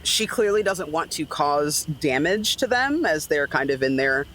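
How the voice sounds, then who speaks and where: A man's voice: on the high side, lively intonation, a little slow, pushed and bright. A man playing a tabletop game, casually narrating what his character does.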